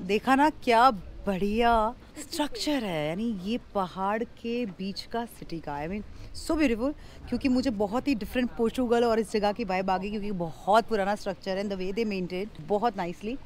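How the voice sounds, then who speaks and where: A woman's voice: mid-range voice, slight background noise. Chatty Indian woman vlogging on location. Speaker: travel vlogger and host. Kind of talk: casual travel vlog talk mixing Hindi and English. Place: on location at cafe terraces, streets and winery halls. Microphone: handheld camera at arm's length.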